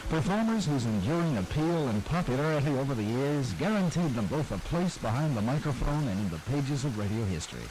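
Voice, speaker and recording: A man's voice: mid-range voice, very animated, brisk, hardly any pauses, darkish tone, slight background noise, poor audio. A man reading a scripted narration for a documentary-style program. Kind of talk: scripted narration about radio history.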